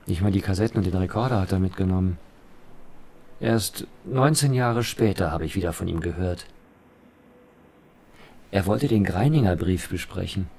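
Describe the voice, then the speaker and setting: An actor playing a remorseful man who confesses in short, measured sentences in a radio play. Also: low-pitched, vocal fry, a little mellow. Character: remorseful man recalling a friend he abandoned.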